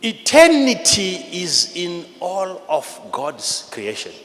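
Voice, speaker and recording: A man with a Zimbabwean accent preaching a sermon in an emphatic, repetitive, teacherly manner, swinging between hushed and loud delivery. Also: sits high, wildly swooping pitch, takes it slowly. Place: large church hall with PA. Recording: live in a large reverberant hall, noisy.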